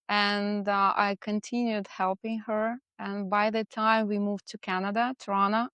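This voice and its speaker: A woman's voice: medium pitch, fairly bright tone, clean tone, no echo. A woman from Russia with a Russian accent, telling her own story as a guest in a casual interview. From Moscow, Russia. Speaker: podcast guest, portrait photographer.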